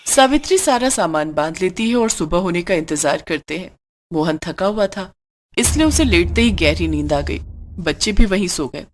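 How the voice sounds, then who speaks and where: A woman's voice: lowish voice, animated, normal speed. Woman narrating a story, noisy background.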